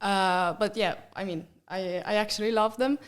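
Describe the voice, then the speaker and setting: A woman's voice: mid-range voice, fairly even intonation, normal speed. A young woman with an Italian accent, a podcast guest chatting casually with many fillers and small laughs.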